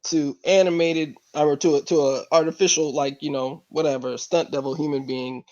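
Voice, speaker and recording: A man on a podcast panel arguing his points in casual, halting talk, over muffled call audio. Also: fairly high pitch, fairly even intonation, a little mellow. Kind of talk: casual discussion. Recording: dull lo-fi online call audio.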